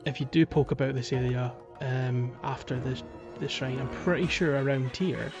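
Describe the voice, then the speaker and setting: Male co-host, mid-range voice, halting informal game talk over quiet music. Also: mid-range voice, steady tone, muffled recording. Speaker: podcast co-host. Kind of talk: casual video game podcast discussion.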